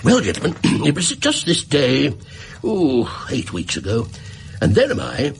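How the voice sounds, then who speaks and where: An actor playing a fussy, gullible middle-aged pawnbroker in a radio drama, rambling and deferential. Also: male voice, mid-range voice, huge pitch swings, measured pace, vocal fry, faint music behind, heavily acted. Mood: eager storytelling. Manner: rambling, hesitant, chuckling, deferential. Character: fussy, garrulous, gullible widowed London pawnbroker. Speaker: client telling his story. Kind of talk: British radio drama acting.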